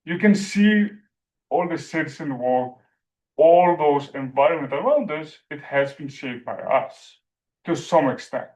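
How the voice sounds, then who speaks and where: A man from Iceland with an Icelandic accent giving an academic presentation, speaking haltingly with many fillers like "like" and "you know". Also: fairly high pitch, lively intonation, a little mellow, low-quality recording.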